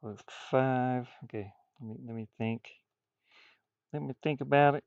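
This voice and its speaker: A man's voice: mid-range voice, fairly even intonation, unhurried, clean tone, dry recording, narrow, tinny audio. A man streaming casually as he draws, muttering and thinking aloud in folksy, informal speech. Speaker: streamer and hobby artist. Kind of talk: casual livestream chat while drawing.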